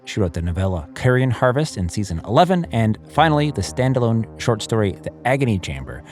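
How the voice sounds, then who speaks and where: A man's voice: on the low side, very animated, a little fast, a little mellow, faint music behind. A man speaking as host and producer, giving a scripted introduction to a fiction podcast.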